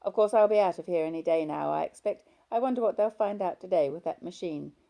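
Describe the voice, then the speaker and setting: A woman's voice: fairly low pitch, a little fast, vocal fry, mellow, voice pushed up. A woman voicing a blunt, chatty hospital patient. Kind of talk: audiobook character voice.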